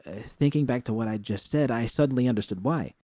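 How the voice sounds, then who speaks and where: A man's voice: medium pitch, quick pace, mellow, dry recording, studio quality. A man narrating first-person fiction as an audiobook reader.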